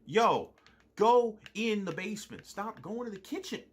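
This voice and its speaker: A man playing a nervous, excitable gamer at the controls in a comedy sketch, slangy and hushed. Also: sits high, a little slow, husky, voice pushed up, exaggerated voice.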